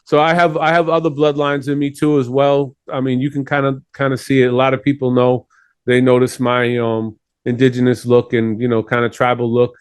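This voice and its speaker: A man's voice: mid-range voice, little pitch movement, fairly quick, smooth, no room sound, clean studio sound. A man from Chile, talking earnestly and at length as a guest in a casual interview. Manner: earnest, rambling.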